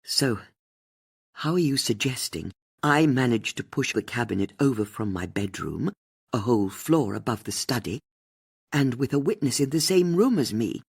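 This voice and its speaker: A woman voicing a defensive, indignant younger woman under accusation in an audiobook, with a sharp, challenging manner. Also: a bass voice, animated, normal speed, creaky, breathy edge, no room sound, clean studio sound, full character voice. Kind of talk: audiobook character dialogue.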